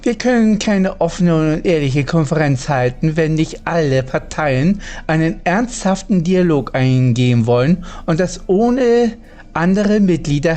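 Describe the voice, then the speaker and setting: A man's voice: a little high, darkish tone. A man doing let's play commentary, reading out game dialogue and chatting as he plays. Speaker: gaming streamer playing and reading dialogue.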